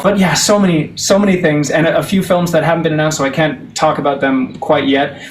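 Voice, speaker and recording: American male guest, sincere and grateful, mid-pitched, roomy echo with faint hiss. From United States. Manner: earnest, warmly grateful, promotional. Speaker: guest actor and musician. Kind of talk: interview talk.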